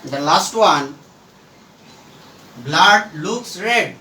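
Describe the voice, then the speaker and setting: A man teaching a grammar lesson at a board, speaking in deliberate, halting, emphatic phrases, recorded from a distance in an echoey room. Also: high-pitched, animated, pushed and bright. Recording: roomy echo, distant mic, faint noise.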